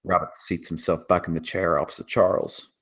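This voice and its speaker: A man's voice: deep voice, level tone, brisk, a bit bright, breathy edge. A man reading scripted screenplay action as the narrator.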